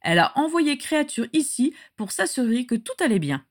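A woman voicing a fawning, devoted male house-elf servant in a grating, creaky voice, for a fantasy story reading. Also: sits high, big pitch swings, fairly quick, pitched above the performer's own voice. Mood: anxious, urgent. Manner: obsequious, speaks of himself in third person.

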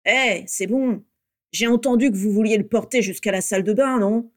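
A woman voicing a gruff, brutish male wizard in a storybook, blunt and sneering. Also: mid-range voice, moderate pace, close to an everyday voice.

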